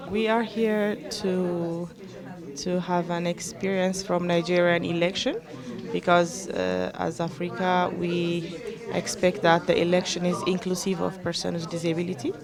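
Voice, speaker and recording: A woman from Ethiopia with an Ethiopian accent, an election observer answering an interview in a measured way, searching for words. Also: on the low side, flat delivery. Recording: crowd chatter behind.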